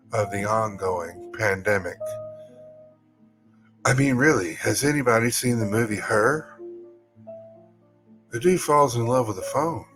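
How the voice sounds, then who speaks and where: A man hosting a podcast in a casual, folksy way, reading aloud and chatting to listeners. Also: lowish voice. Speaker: podcast host.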